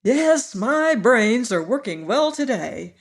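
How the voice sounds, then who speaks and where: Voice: mid-range voice, sing-song, a little slow, pitched above the performer's own voice. A reader voicing a cheerful male scarecrow who is proud of his brains. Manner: proud and approving.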